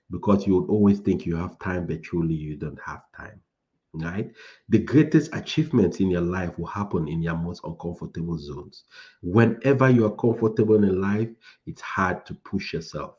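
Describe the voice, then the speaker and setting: A man's voice: low voice, darkish tone, dull audio. A man from Cameroon coaching people on starting a tech career, giving a preachy motivational talk that repeats phrases for emphasis.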